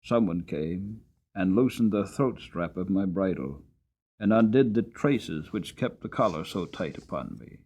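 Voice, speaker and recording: A man voicing a weary, long-suffering horse who tells his life story in earnest, deliberate, dramatic storybook narration. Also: deep voice, lively intonation, warm tone, husky, exaggerated voice. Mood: earnest, reflective.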